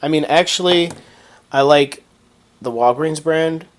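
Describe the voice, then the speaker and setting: A man with a stuffed-up nose from a cold, chatting through a casual product review in a relaxed way, with frequent ums and restarts. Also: fairly high pitch, a little slow. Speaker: video maker reviewing products.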